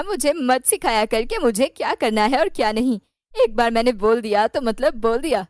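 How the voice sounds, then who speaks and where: A woman's voice: a little high, no room sound, clean studio sound, natural voice. A woman voicing a cruel, bossy aunt in a cartoon moral story, scolding and threatening in an imperious way.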